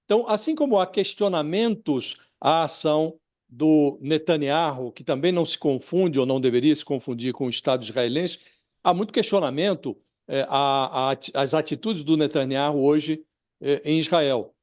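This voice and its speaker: A man with a Brazilian accent questioning a guest as a news panelist, working deliberately toward his point with repeated words. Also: fairly high pitch, darkish tone. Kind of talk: spontaneous interview questioning.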